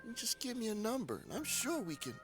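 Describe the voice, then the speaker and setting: An actor playing an evasive, flustered smuggler in a video game, haggling and pleading. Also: male voice, extremely high pitch, huge pitch swings, brisk, music in the background, exaggerated voice. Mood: wheedling. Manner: wheedling, flustered.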